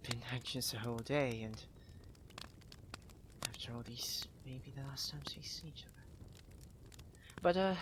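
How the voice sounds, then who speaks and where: Over clearly audible background noise comes a proud young prince played by a man in an ASMR roleplay. He speaks slowly in a posh, halting way, teasing and defensive, with a tenderness he tries to hide. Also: medium pitch, lightly acted. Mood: anxious, nostalgic.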